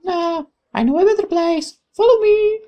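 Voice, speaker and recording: A woman's voice: very high voice, moderate pace, highs cut off, voice pushed up. A woman voicing a bold but frightened young woman in a visual novel, urgent and emotional. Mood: urgent, bossy. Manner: shouts orders, then confides timidly.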